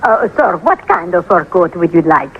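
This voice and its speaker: A woman's voice: on the low side, very animated, moderate pace. A female radio actor playing a fawning, flirtatious saleslady with a gushing, obliging manner. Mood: gushing, flattering. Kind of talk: old-time radio acting. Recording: muffled, noisy old radio recording.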